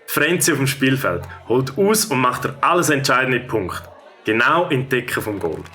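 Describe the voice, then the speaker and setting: Bright-voiced Swiss man narrating. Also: mid-range voice, sing-song, normal speed, a faint crowd, slight background noise. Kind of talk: scripted children's story narration.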